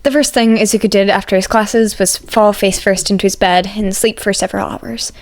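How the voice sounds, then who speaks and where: A woman's voice: mid-range voice, fairly even intonation, fairly quick. A woman reading fan fiction aloud as scripted story narration.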